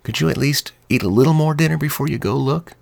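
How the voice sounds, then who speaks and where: A man's voice: fairly high pitch, fast talker, vocal fry, dry recording, pitched above the performer's own voice. A man putting on a nervous, eager woman. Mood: pleading. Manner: soft, anxious, pleading. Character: nervous, eager-to-please woman in her mid-thirties. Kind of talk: audiobook character voice.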